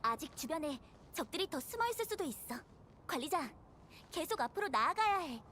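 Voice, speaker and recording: A woman's voice: sits high, big pitch swings, rapid-fire, fairly bright tone, slight background noise. A woman voicing a watchful, playful young female companion in a game. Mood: urgent, cautious. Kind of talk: game voice acting.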